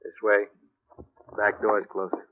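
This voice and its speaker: Man playing a low-voiced, curt gangster henchman. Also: completely flat delivery, unhurried, close to an everyday voice. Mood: cold, commanding. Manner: terse, blunt. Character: curt male gangster henchman, cool and threatening. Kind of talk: 1940s-50s radio acting. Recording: muffled, hissy old radio recording.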